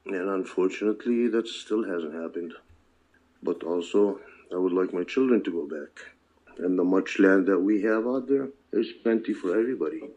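Older man, deep voice, soft dark tone, earnest, reflective, deliberate phrasing, personal storytelling. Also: muffled recording. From born in Palestine, moved to the US as a child. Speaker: interviewee, father interviewed by own child. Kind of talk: personal oral history interview.

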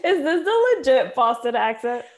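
A woman's voice: high voice, big pitch swings, normal speed. A woman co-hosting a podcast as a dietitian. She sounds congested, chats casually and laughs often. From Philadelphia area, USA. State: congested, stuffy voice.

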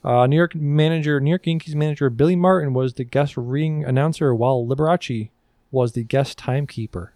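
A man's voice: mid-range voice, brisk, mellow, no echo, clean studio sound. A man co-hosting a podcast in casual banter, reading out facts between asides, irreverent and casually profane. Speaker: podcast co-host.

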